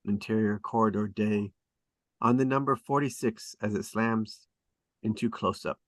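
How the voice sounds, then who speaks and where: A man reading stage directions aloud at a script table read, in a deliberate, descriptive way. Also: lowish voice, fairly even intonation, no room sound.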